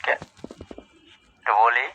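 A man's voice: slow pace, bright, forceful tone, muffled recording, slight background noise. A young man with a Bangladeshi accent chatting casually on the phone in a coaxing, persistent, repetitive way. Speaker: mason.

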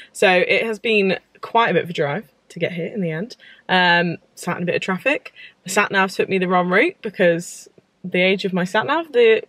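A young woman talking straight to her camera at home and in a parked car, bubbly and chatty. Her pitch sits in a middle range and she tumbles eagerly from one aside to the next. It sounds like an easygoing, excitable vlog. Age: young adult. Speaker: book vlogger. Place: home room with bookshelves, later parked car.

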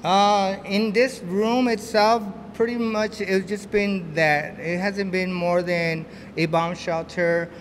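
A man's voice: high voice, steady tone, slow, few pauses. A man in his fifties giving a casual, explanatory walking tour as a school plant supervisor. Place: school stairwell and basement electrical room. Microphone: handheld interview microphone. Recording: echoey concrete basement, noisy handheld mic.

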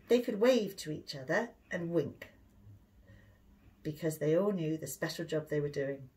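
A middle-aged woman with a British accent reading a children's storybook aloud, expressively and clearly for young listeners. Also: medium pitch, darkish tone, poor audio. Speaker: speech and language therapist reading a story.

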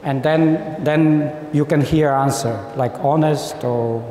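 A man's voice: on the high side, slow pace, mellow, slight background noise. Man lecturing in an echoey hall. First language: Polish. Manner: conversational, acts out quoted diplomats. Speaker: lecturer on human rights. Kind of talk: informal lecture. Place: lecture hall with seated audience. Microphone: lapel mic.

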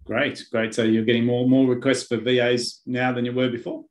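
A man's voice: medium pitch, flat delivery, moderate pace. A man in his fifties hosting a business interview over a video call, asking questions with brief, encouraging responses. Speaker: host. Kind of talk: interview host. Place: home office with bookshelves. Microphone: webcam on video call.

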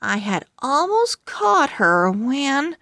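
Woman as an excitable little pug, very slow delivery. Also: high voice, dry recording, studio quality, pitched above the performer's own voice, heavily acted. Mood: eager, dramatic. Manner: earnest, dramatic, confiding. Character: excitable, eager little pug writing a diary.

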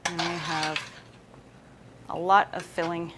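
A woman's voice: fairly low pitch, little pitch movement, slow pace. A woman in her thirties hosting a casual live cooking demonstration, talking conversationally through each step. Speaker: cooking show host. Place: indoor kitchen set with wooden worktop. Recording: noisy room sound with kitchen ambience.

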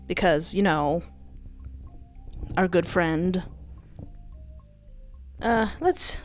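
A young woman hosting a game playthrough on a close microphone, reading text aloud and adding animated, jokey asides. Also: fairly low pitch. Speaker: gaming video host. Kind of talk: playful let's play commentary mixed with reading game text aloud. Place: indoor home recording setup. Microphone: close desktop microphone with headset. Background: game music.

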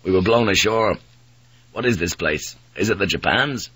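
A defiant, worn-out seafaring pilot, played by a male audiobook narrator. His voice is low and husky, guarded and probing, with a taunting edge. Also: moderate pace, bright, forceful tone, no echo, full character voice, rough recording. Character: weakened but defiant seafaring ship's pilot, a man.

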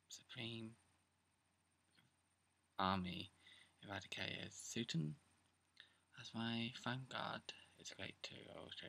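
A young man with a sore, croaky throat, talking quietly in a half-whisper at home while he goes through his card game deck for a casual video. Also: fairly low pitch. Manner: hushed, half-whispering late at night. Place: indoors, seated on a bed or floor at home. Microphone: camera close in front, pointed at his hands.

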